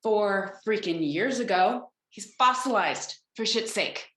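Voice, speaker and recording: A woman's voice: medium pitch, takes it slowly, fairly bright tone. A woman playing a snide, defiant executive in her late thirties, scornful and sarcastic, in a comedic table read. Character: snide, defiant 39-year-old female development executive. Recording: roomy home video-call audio.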